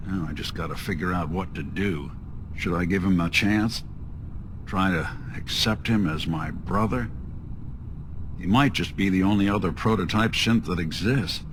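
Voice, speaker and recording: An actor playing a weary, wry synthetic detective in a video game, with hardboiled, slangy phrasing. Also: male voice, low voice, noisy background. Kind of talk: video game dialogue acting.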